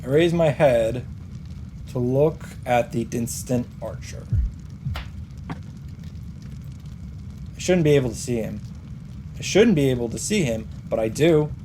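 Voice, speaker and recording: A man's voice: medium pitch, expressive, unhurried, pauses often. Man narrating dramatically over noisy game ambience. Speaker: let's player narrator. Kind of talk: dramatic read-aloud of visual novel narration. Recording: game sound and noise behind.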